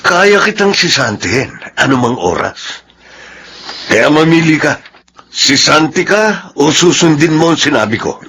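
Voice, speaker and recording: A man's voice: on the high side, very animated, unhurried, sharp tone, a little hiss or hum, full character voice. A Filipino man acting a pushy, scheming office employee in a radio drama. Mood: threatening. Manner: pushy, threatening, dismissive. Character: scheming, bullying male office employee angling for promotion.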